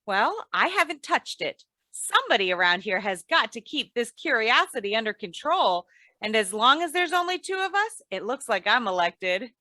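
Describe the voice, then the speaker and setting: Woman acting sensible teasing wife, old-time radio comedy, high voice, very animated, gently scolding, dry close sound. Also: moderate pace, no room sound.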